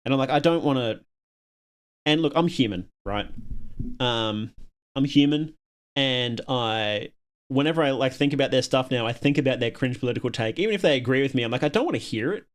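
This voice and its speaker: A man's voice: mid-range voice, animated, brisk. A man hosting a casual livestream, chatting to viewers and thinking aloud in a rambling, opinionated way. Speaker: livestream host.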